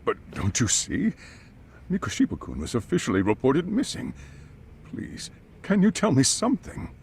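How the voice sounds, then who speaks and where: A polite, anxious male school chairman, with a creaky voice that swings widely in pitch. He sounds formal and hesitant, as in a video game cutscene, over faint background noise. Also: huge pitch swings, normal speed, darkish tone. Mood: worried, pleading.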